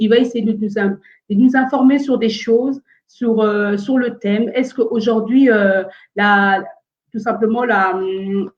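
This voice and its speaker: Woman hosting a lo-fi home live stream. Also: mid-range voice. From France, of Congolese origin. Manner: hesitant, many fillers, struggling with sound problems. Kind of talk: casual live stream chat.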